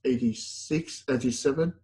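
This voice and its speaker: Middle-aged male professor, husky, medium pitch, hesitant with lots of "uh". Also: mellow. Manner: halting, many fillers and self-corrections. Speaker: university lecturer. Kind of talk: classroom lecture.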